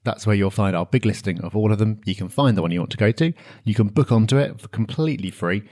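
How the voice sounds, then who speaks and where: A British man co-hosting a podcast, briskly wrapping up the show and plugging events. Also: lowish voice, darkish tone. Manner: brisk, wraps up and plugs events. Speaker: podcast co-host. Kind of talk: casual podcast chat.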